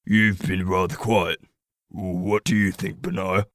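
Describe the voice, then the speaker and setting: Man acting troubled low-voiced pack leader. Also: little pitch movement, takes it slowly, fairly bright tone. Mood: resolute. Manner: formal, earnest, commanding speech. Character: troubled, determined male pack leader seeking healing. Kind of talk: fantasy audio drama acting.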